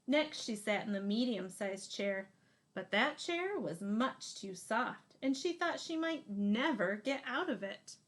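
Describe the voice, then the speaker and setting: A woman reads a children's storybook aloud like a teacher. Her voice sits a little high and moves around a lot. She leans deliberately on the key words. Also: lively intonation, a bit bright. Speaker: teacher reading a picture book aloud. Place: small room indoors.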